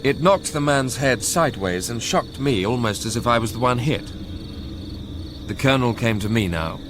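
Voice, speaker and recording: A man playing a brooding, proud young narrator in a radio drama, telling his story in measured literary phrases. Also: medium pitch, lively intonation, background noise, natural voice. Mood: tense, shaken. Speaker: first-person narrator.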